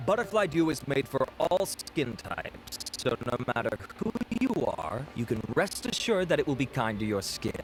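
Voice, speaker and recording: A man's voice: mid-range voice, sing-song, moderate pace, hardly any pauses, over music, lightly acted, low-quality recording. A man playing a courteous, vain beauty researcher in an anime game, speaking in a polite, self-assured, formal way. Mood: courteous, proud.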